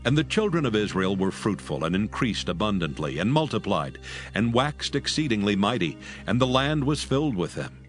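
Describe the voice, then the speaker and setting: A man with a lowish voice narrates scripture in a measured, solemn way. His intonation rises and falls expressively, and faint music plays underneath. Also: sing-song.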